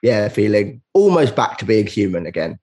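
A man's voice: on the low side, big pitch swings, moderate pace, a little mellow. A man, a comedian being interviewed, telling casual anecdotes in a candid, self-deprecating way. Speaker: stand-up comedian, interview guest.

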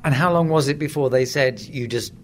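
A man interviewing someone conversationally, putting articulate, probing questions in a measured way. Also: mid-range voice, slight background noise. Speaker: interviewer, sports journalist.

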